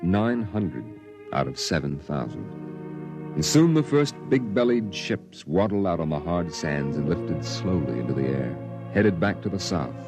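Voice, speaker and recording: A solemn, weary storyteller, deep. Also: male voice. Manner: solemn, reflective narration. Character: weary male narrator-survivor on Mars. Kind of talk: 1950s radio drama narration.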